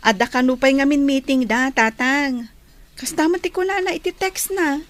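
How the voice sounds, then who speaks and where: A woman's voice: high voice, moderate pace, close to an everyday voice. A woman playing a dutiful daughter of the household in an audio drama. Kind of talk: Ilocano audio drama acting.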